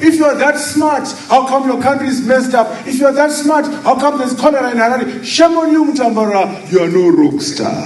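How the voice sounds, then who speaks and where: A man's voice: very high voice, animated, a little fast, few pauses, pitched above the performer's own voice. A man putting on the voice of a scornful conference delegate who mocks him with incredulous questions. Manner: mocking, incredulous, shouting. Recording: echoey hall, far from mic.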